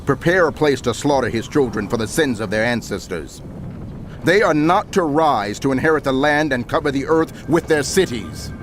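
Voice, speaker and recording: An actor playing a prophet narrator, solemn and declamatory, in dramatic scripture narration. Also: male voice, medium pitch, animated, moderate pace, a little hiss or hum, natural voice. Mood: solemn declaiming. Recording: music under the voice.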